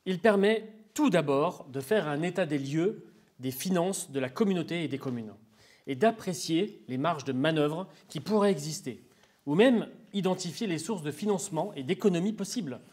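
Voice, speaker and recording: A man's voice: on the high side, expressive, moderate pace, a little mellow. A man giving formal, didactic explanations as an expert in an interview, in structured, prepared sentences.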